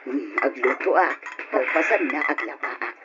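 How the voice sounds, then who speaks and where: A woman's voice: rumbling low, animated, measured pace, music in the background. An actress playing a confused, forgetful elderly mother, muttering and repeating herself with an Ilocano accent in an old radio drama. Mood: agitated fussing. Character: confused, forgetful elderly mother fussing about cats, pots and rice. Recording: muffled lo-fi radio drama recording.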